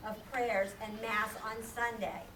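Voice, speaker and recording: A woman's voice: fairly high pitch, flat delivery, unhurried. A woman narrating a scripted story for a children's puppet show. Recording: echoey room, distant mic, background noise.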